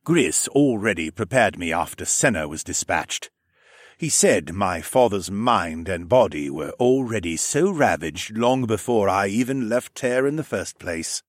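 Man voicing a solemn, low, formal lizard regent. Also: lively intonation, no echo, studio quality. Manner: formal, measured phrasing. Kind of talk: audiobook character dialogue.

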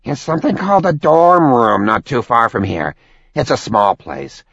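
A man voicing an excitable, chatty male demon servant who speaks eagerly with a lively, swinging delivery. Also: mid-range voice, moderate pace, gravelly, no room sound, pitched above the performer's own voice, heavily acted. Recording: dull, dry lo-fi audiobook sound.

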